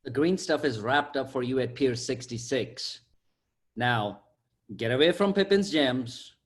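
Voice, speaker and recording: A man's voice: medium pitch, expressive, measured pace, lightly acted. A man voicing a cocky, brash young delivery sidekick with slangy banter in an amateur table read. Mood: upbeat swagger.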